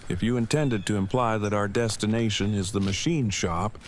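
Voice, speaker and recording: A man's voice: on the low side, moderate pace, a little hiss or hum, close to an everyday voice. A man playing a stiff, pedantic, earnest inventor in an audio drama, speaking in formal, wordy, precise sentences. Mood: stiff, matter-of-fact. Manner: formal, verbose, precise wording. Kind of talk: fan audio drama acting.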